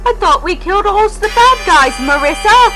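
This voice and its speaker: A woman voicing an excitable male robot sidekick in a fretful, exclaiming manner for a story. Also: very high-pitched, normal speed, pitched above the performer's own voice. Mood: startled. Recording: loud music behind the voice.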